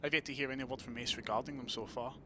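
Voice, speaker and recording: Actor as a courtly, commanding vampire prince. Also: male voice, medium pitch, fairly even intonation, racing, sharp tone, a little hiss or hum, natural voice. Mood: businesslike, composed. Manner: formal, courteous, imperious. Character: courtly, authoritative male vampire prince, measured and shrewd. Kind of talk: audio drama acting.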